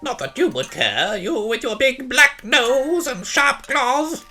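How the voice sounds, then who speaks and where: A man voicing an excitable, pompous little gnome king with a high, squeaky voice and long-winded delivery in a storybook reading. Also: slow, faint music behind, exaggerated voice. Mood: indignant. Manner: bombastic, long-winded speechifying.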